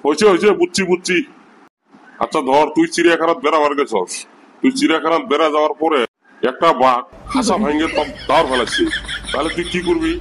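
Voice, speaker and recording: A man's voice: a little high, fast, a bit bright, slight background noise, lightly acted, rough recording. A man doing an exasperated village teacher. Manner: scolding, exasperated, sighing. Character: exasperated middle-aged male village teacher.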